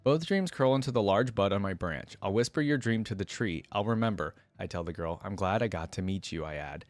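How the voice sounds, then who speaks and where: A man's voice: on the low side, no room sound. A young man hosting a reaction video, reading story text aloud and commenting in an informal, musing way.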